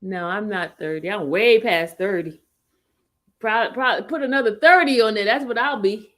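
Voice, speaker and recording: A woman's voice: medium pitch, normal speed, fairly bright tone. A woman, chatting on her livestream and laughing with her viewers. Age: 50s. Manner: chatty, laughs often, talks to her live chat. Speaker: livestream host. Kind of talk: casual livestream chat.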